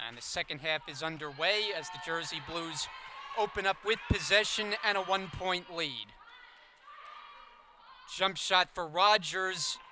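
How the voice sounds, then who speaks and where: A man's voice: sits high, measured pace, pushed and bright. A man giving live play-by-play commentary on a college basketball game. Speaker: sports commentator. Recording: faint gym noise, bouncing basketballs. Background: basketball game ambience.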